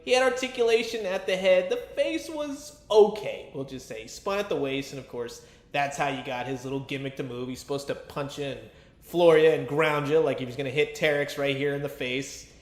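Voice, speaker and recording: A man's voice: on the high side, fairly quick, echoey room. A man giving a casual, chatty toy review with a fan's enthusiasm and colloquial asides. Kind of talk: casual action figure review commentary.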